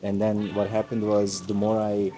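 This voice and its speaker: A man's voice: lowish voice, monotone, normal speed. A young man from Turkey, a native Turkish speaker, musing in a casual outdoor conversation, rambling with many fillers and restarts. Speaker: host. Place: outdoors in a busy mountain village. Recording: crowd noise behind.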